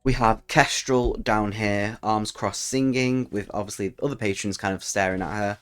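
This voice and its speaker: A man with a fairly low voice hosts a tabletop roleplaying channel. He speaks as a storyteller to his audience, with music playing softly behind him. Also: fairly even intonation, normal speed. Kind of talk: storytelling narration and casual channel talk.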